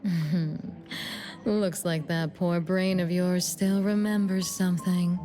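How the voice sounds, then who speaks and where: An actress playing an enigmatic shadow of an older sister in a video game, measured, knowing and gently condescending. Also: female voice, on the low side, steady tone, faint music behind. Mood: knowing, taunting.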